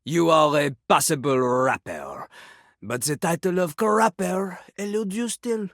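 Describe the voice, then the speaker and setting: An actor playing a boastful French talking toilet in a video game, a pompous, theatrical rapper with a French accent. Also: male voice, on the high side, lively intonation, unhurried, fairly bright tone, no echo, clean studio sound. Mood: solemn respect. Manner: pompous, theatrical, refers to himself in third person. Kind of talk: video game character acting.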